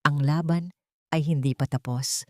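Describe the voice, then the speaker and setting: A female narrator tells a scripted dramatic story. Her pitch is a little low for a woman. The recording is dry, with no room sound. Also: steady tone, a little slow, dark tone, dry recording.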